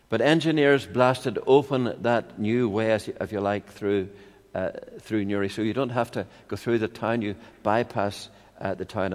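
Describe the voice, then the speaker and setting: An older man with a Northern Irish accent preaching a sermon in a measured, expository way, with rhetorical questions and personal anecdotes. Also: lowish voice. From Ireland. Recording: long hall reverb.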